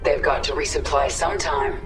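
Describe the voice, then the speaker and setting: A grim, determined warrior queen from a video game, played by an actress, giving curt orders with imperious control. Her voice echoes at a slight distance, with clear music underneath. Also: deep voice, steady tone, brisk, natural voice. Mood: grim, commanding. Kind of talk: video game cutscene acting. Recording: distant, echoing, music behind.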